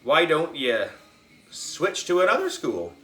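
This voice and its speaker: A man's voice: a little high, huge pitch swings, takes it slowly, pushed and bright, pitched above the performer's own voice, heavily acted. A man voicing a stubborn, exasperated third-grade boy in a children's storybook, blurting and indignant.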